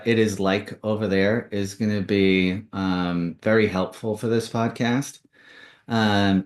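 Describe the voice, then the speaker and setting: A man hosting a podcast, moving between a scripted introduction and interview questions, recorded with a roomy echo. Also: low-pitched. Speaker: podcast host. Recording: roomy, echoing voice.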